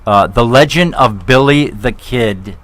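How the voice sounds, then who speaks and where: A man from New York hosting a live talk show, excitable and joking, often repeating himself as he steers the interview. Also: medium pitch, measured pace.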